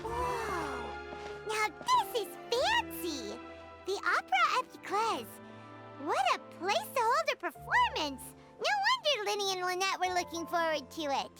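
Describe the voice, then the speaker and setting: A woman voicing a small, excitable, childlike fairy companion in a video game, squeaky and full of exclamations. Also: measured pace, loud music behind the voice, full character voice. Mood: awed, curious. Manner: exclaims, speaks of self in third person. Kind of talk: video game cutscene voice acting.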